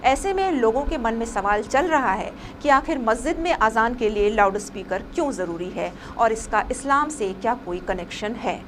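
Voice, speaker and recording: A woman's voice: fairly high pitch, lively intonation, moderate pace, hardly any pauses. A woman reading a scripted news report as a presenter, with some noise behind her.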